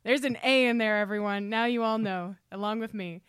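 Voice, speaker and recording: A woman's voice: medium pitch, a little fast, a bit bright, clear, resonant tone, no room sound, clean studio sound. A woman hosting a casual podcast interview in a playful, chatty way. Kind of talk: casual, joking interview chat.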